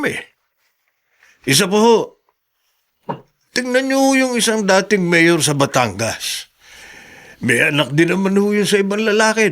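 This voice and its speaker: A man's voice: fairly high pitch, a bit bright. A man playing a loyal, deferential driver in a Filipino radio drama, respectful and hesitant, with a Filipino accent. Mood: encouraging. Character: loyal, deferential driver consoling his boss.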